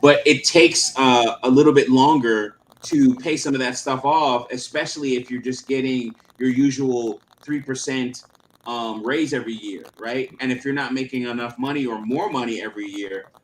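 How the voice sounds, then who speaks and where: A man's voice: medium pitch, steady tone, moderate pace, a little hiss or hum, low-quality recording. Rambling man in his thirties, casual. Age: 30s. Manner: rambling, filler-heavy, slangy. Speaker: channel host. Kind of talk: casual livestream chat.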